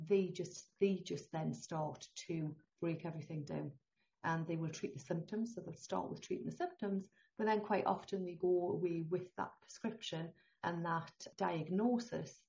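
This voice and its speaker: Woman, northeast English accent, chatty interview guest, lowish voice, dull lo-fi video-call sound. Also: vocal fry. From northeast England. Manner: chatty and animated, laughs often. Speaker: guest, holistic healthcare consultant. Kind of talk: conversational interview.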